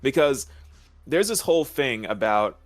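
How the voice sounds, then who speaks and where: A man's voice: mid-range voice, expressive, measured pace, dry recording. A young man chatting casually as a guest commentator, informal and opinionated, acting out his reactions. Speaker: guest co-commentator on a game discussion. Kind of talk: casual chat.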